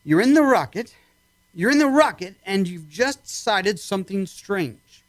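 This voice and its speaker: Male actor, radio-drama psychiatrist, authoritative, probing, clipped, animated intonation, dry recording, faint music behind. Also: high voice, unhurried, no room sound, low-quality recording. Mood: commanding, intent. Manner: clipped, questioning, commanding. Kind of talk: old-time radio drama acting.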